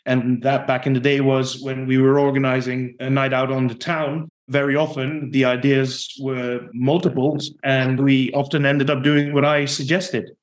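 A man's voice: mid-range voice, flat delivery, normal speed, hardly any pauses, rough recording. A man from the Netherlands with a Dutch accent, a podcast guest giving long, reflective answers about his sales career. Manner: reflective, talks in long explanatory runs. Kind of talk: podcast interview.

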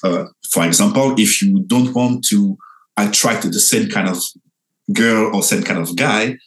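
A young Frenchman speaking English with a clear French accent, telling his own story in an interview. His voice sits on the lower side. He talks in an animated way, jumping up in pitch and stumbling into restarts as he goes. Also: rough recording. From France. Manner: animated, lively pitch jumps, halting restarts.

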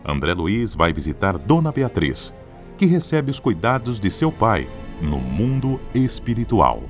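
Animated Brazilian male announcer over music. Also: lowish voice, measured pace. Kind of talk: scripted radio drama recap and title announcing. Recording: prominent music behind the voice.

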